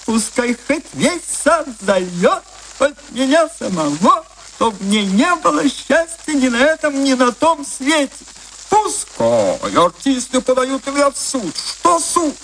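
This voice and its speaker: An actor playing a small, despairing theatre impresario in a radio drama, lamenting theatrically in a thin, reedy tenor. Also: male voice, very high voice, bright, forceful tone, slight background noise, full character voice, rough recording. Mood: bitter defiance. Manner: lamenting, dramatic, self-pitying.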